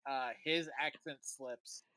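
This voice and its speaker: Bright-voiced podcast co-host. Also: medium pitch, steady tone, takes it slowly, smooth, dry recording. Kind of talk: casual TV review chat.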